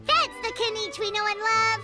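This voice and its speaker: Squeaky, bubbly little fairy companion. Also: female voice, bright, forceful tone, full character voice. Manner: bubbly, exclamatory. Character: tiny cheerful high-voiced fairy companion, eager and hungry. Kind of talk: video game cutscene acting. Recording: music playing behind the voice.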